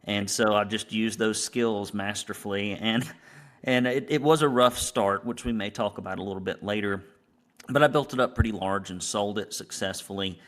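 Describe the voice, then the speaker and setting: A middle-aged man with a Southern American accent and a low, deep voice. He speaks as a business coach being interviewed, in a conversational storytelling style. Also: moderate pace. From Alabama, United States. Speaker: guest, business coach and painting academy founder.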